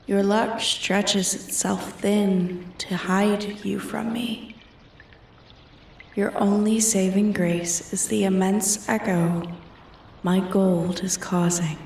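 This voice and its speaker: A woman's voice: medium pitch, gravelly, a little mellow, a little hiss or hum. A woman playing an ancient, arrogant female dragon in a roleplay ASMR, haughty and taunting, often sinking toward a whisper. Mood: taunting, threatening. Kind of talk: roleplay ASMR, scripted dramatic monologue. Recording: echoey, distant from the mic.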